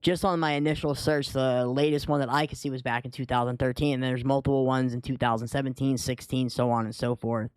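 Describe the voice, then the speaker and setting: A man's voice: mid-range voice, fairly even intonation, a little fast, hardly any pauses, smooth, no echo. A man co-hosting a podcast in a casual, conversational style. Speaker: podcast co-host.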